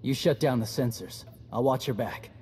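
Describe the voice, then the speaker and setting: A man's voice: medium pitch, normal speed, darkish tone, lightly acted. A man playing a stoic, battle-weary mercenary soldier in a video game, blunt and plain-spoken. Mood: businesslike. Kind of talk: video game cutscene acting. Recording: music behind the voice.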